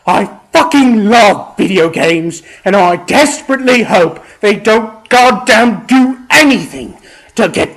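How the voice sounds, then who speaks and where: A man rants from a script with theatrical scorn and plenty of profanity. His voice sits high for a man and moves through big swings in pitch. He goes slowly, stretching out words for exasperated emphasis. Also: fairly bright tone. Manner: exasperated, emphatic, drawn-out delivery. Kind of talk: profane comedic rant read from a script.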